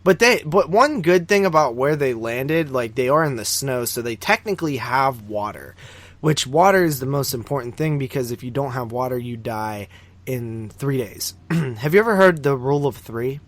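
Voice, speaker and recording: A man's voice: medium pitch, animated, moderate pace. A man co-hosting a podcast, casually recapping a film in a rambling, informal way with some swearing. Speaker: podcast co-host.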